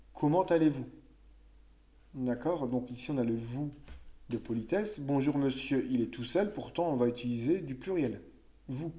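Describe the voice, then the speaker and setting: Relaxed mellow-voiced man teaching grammar. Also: mid-range voice. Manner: conversational, thinks aloud with fillers and self-corrections. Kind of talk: explaining French grammar to children.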